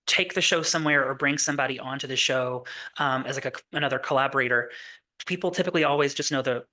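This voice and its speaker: A man as a playwright guest with a bright, forward voice. He rambles through his thoughts in loose, circling sentences, starting over often. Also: mid-range voice, quick pace. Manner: rambling, many fillers and restarts. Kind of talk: casual interview chat.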